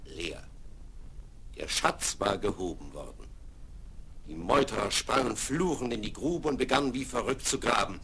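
A man's voice: medium pitch, lively intonation, normal speed, creaky, a bit bright, slightly rough. A man narrating an adventure radio play in scripted storytelling style. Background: music.

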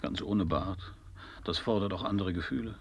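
A man's voice: sits low, normal speed, gravelly, breathy edge, heavily acted. An actor playing an uneasy, evasive, grumbling man in a radio play, with dry, terse, irritable delivery. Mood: grudging, dry. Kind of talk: absurdist German radio play acting.

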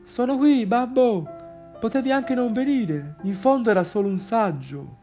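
A meek, deferential young son in a radio play. His voice is strikingly high and mellow, understated, over faint instrumental music. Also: moderate pace. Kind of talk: theatrical radio drama dialogue.

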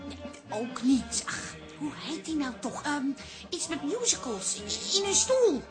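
A woman's voice: high voice, sing-song, unhurried. An actress playing a stubborn, well-meaning Dutch pub landlady in a radio drama, talking colloquially and insistently. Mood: puzzled. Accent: Dutch, from the Netherlands. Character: Toos, stubborn, well-meaning female neighbourhood pub keeper. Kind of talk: naturalistic radio drama acting. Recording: music behind the dialogue. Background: music.

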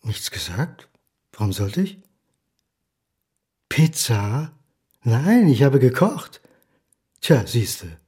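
An actor playing a lonely, eccentric grown son, fussy and singsong as if talking to himself, in a German radio play. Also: male voice, medium pitch, very animated, very slow, long pauses, a little mellow, breathy edge, heavily acted. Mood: evasive.

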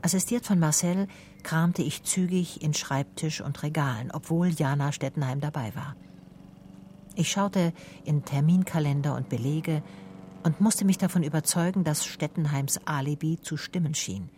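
A low-voiced woman private detective from a radio play. She speaks in clipped, matter-of-fact sentences, dry and brisk, as if filing her own case. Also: warm tone, a little hiss or hum. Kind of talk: radio drama first-person narration and dialogue.

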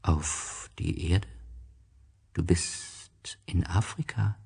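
A man voicing an enigmatic desert snake in a storybook, speaking slowly in a hushed, measured way. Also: low-pitched, steady tone, creaky, breathy edge, long reverb tail, exaggerated voice. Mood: calm, mysterious. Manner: slow, hushed, measured delivery. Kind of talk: storybook character voice.

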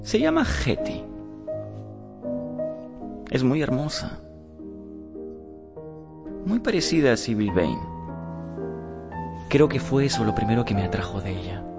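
An actor playing an earnest, remorseful young romantic gentleman in a radio drama, speaking with dramatic sincerity. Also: mid-range voice, sing-song, measured pace, long pauses. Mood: confessional, earnest. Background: music.

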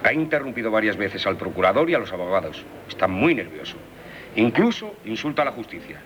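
Low-voiced, composed lawyer. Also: male voice, sing-song, a bit bright, highs cut off. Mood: matter-of-fact. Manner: measured, formal. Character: composed, authoritative male lawyer, calming and matter-of-fact. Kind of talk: 1970s Spanish radio drama acting. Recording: 1978 radio recording, faint noise.

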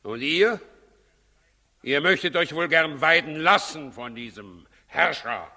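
A very sharp, forceful voice in a long-reverberating hall: an imperious tyrant king in a 1960s radio drama. He commands and questions with impatient sarcasm and suspicion, always ready to threaten. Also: on the high side, expressive, slow, full character voice. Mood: sardonic. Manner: commanding, sarcastic, menacing interrogation. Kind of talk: 1960s German radio play acting.

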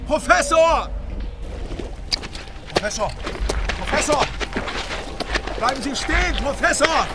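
Frantic man shouting in high pitch. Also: expressive, slow pace, pauses often, loud music behind the voice, heavily acted. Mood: frantic, desperate. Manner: urgent, strained shouting. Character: frantic man shouting after someone on thin ice. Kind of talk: radio drama acting.

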